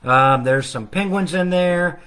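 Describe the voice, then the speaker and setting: A man's voice: a little high, measured pace, bright, forceful tone. A man reading his own picture book aloud at a children's storytime, chatting casually between the verses and stretching out the repeated refrain.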